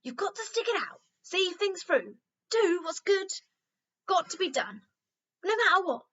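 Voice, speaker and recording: A kindly old storybook lady, acted by a woman reader chats away merrily and reassuringly. Her voice is high and bright, with very lively swoops of pitch. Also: unhurried, highs cut off, exaggerated voice. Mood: warm, reassuring. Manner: chatty, merry, reassuring.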